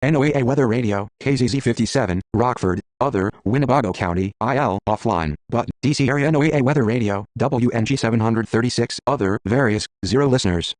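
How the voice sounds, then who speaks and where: A man's voice: mid-range voice, fast talker, few pauses, a little mellow, no room sound. A synthetic male text-to-speech voice working as a screen reader, reading out list entries in a mechanical, clipped, automated way. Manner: mechanical, clipped, reads out letters and numbers evenly. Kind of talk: flat automated list readout.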